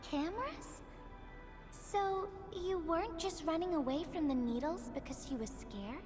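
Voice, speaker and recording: A woman voicing a gentle, encouraging young woman in a video game, speaking in a sweet, coaxing manner as to a child. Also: very high voice, expressive, measured pace. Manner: soft-hearted, coaxing, sweet. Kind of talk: video game character acting. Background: music.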